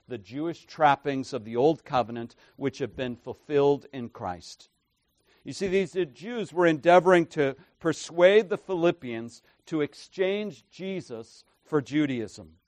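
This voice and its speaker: A man preaching an expository sermon, emphatic and tagging his points with "right?", his loudness swinging from soft asides to forceful declarations. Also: fairly high pitch, no echo. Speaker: pastor.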